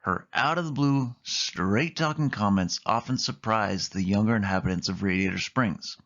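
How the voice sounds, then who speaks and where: A dull, muffled recording carries a man reading a children's picture book aloud. His voice sits on the low side, in a storytime narration style. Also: normal speed, fairly bright tone. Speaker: storybook reader.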